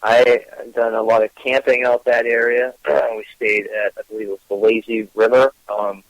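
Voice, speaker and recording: A man with a Gloucester, Massachusetts accent chats as a guest in an unhurried, easygoing way, scattering ums and uhs. His voice sits at an ordinary middle pitch. The sound is dry and close. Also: level tone, no room sound, low-quality recording. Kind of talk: casual interview chat.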